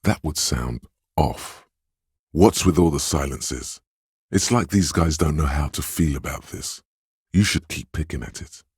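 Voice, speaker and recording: An actor voicing a sly inner voice in a video game, reading people and coaching in a measured, knowing, insinuating way with a gravelly, husky delivery. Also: male voice, very deep voice, a little mellow, dry recording, clean studio sound, full character voice. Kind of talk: scripted video game narration.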